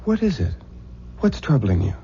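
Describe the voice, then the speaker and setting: An actor playing an easygoing, wry young husband in an old-time radio drama. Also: male voice, on the high side, huge pitch swings, moderate pace, warm tone. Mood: reassuring. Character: easygoing, joking young bridegroom. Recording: muffled, noisy old radio recording.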